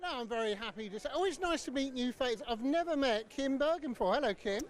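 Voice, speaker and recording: A man with a very high-pitched voice works as a trackside presenter. He chats through live interviews, rambling and hesitating as he goes. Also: expressive, quick pace. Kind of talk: live sports broadcast interviews. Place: outdoors at a greyhound track.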